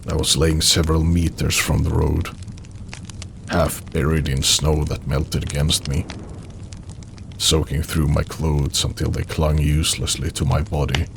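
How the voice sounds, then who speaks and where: A man narrating an audio drama in the first person, with a somber, measured, dramatic delivery in short phrases and a gravelly voice. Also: a bass voice, level tone, noisy background. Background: background music.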